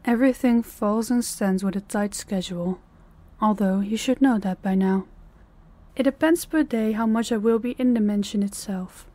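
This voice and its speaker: A woman playing a stern, condescending female mafia boss in an intimate audio roleplay, giving measured orders directly to the listener. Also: mid-range voice, mellow, natural voice. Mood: stern, commanding.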